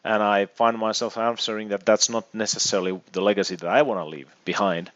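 Finnish man, low, dry dull audio. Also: little pitch movement, brisk, fairly bright tone, no echo. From Finland. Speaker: guest, EV consultant and educator. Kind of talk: conversational interview answers.

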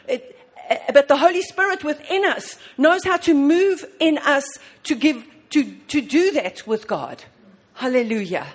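A woman preaching with fervor, her voice sitting a little high. She keeps repeating exclamations of praise and moves between hushed passages and loud ones. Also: lively intonation, measured pace. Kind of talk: sermon on prayer.

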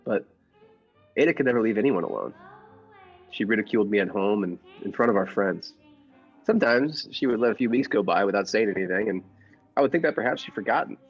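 A mild, henpecked husband who coolly plots murder, acted by a man in a radio play. His voice is low and slightly rough, hesitant in talk and measured in narration. The sound is dull, with music beneath it. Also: lively intonation. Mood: brooding, confiding.

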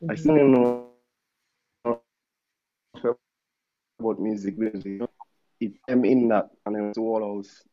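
A man's voice: lowish voice, fairly even intonation, unhurried, dull audio. A man in his thirties from Dominica with a Dominican accent, a musician telling his story in a casual, laid-back interview with slangy asides. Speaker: musician guest.

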